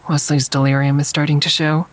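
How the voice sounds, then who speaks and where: A woman's voice: deep voice, flat delivery, a little fast. An actress playing a weary, watchful woman who narrates her own story in an audio drama. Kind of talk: scripted first-person audio drama narration.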